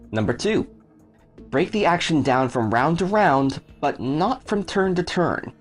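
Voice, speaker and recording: A man delivers scripted video narration with a very lively, swinging intonation over a music bed. His pitch sits in a middle range, and he explains things step by step as a creator laying out his method.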